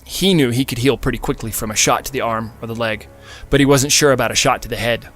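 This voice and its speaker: A man's voice: medium pitch, quick pace, a bit bright. A man narrates fiction in a mid-range voice. His delivery is scripted and dramatic, in the manner of an audiobook, with music playing behind him.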